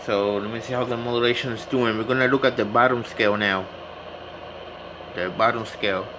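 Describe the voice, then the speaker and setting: A man talks through a hands-on demonstration in a mid-range voice. He is plain and instructive, often restating a step. A light, steady hum of room noise sits behind his words. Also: medium pitch, brisk. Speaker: radio repair hobbyist demonstrating. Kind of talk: informal hands-on tutorial narration.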